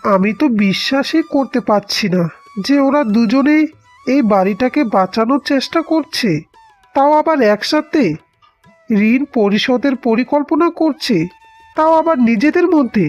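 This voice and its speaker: A woman voicing a gentle, troubled father in cartoon dialogue, with a dramatic, emotive delivery. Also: high voice, lively intonation, moderate pace, faint music behind. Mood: moved, amazed.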